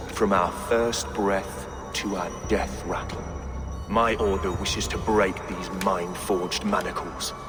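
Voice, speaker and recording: A low-voiced game villain, a bitter and zealous bishop, speaks with slow poetic menace. His words ring in a long echoing reverb with music behind. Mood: menacing, grave. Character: bitter zealous villain, male bishop who hates God. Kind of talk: dramatic game villain monologue.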